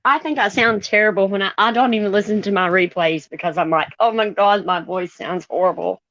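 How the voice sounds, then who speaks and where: A woman's voice: mid-range voice, fairly quick, a bit bright, dry recording, muffled recording. A woman calling into a live chat and giving her opinions in a chatty way. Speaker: guest caller. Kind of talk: casual chat.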